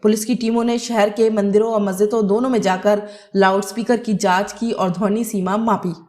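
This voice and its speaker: A woman's voice: medium pitch, steady tone, moderate pace, darkish tone. A woman narrating a scripted news report as a news presenter.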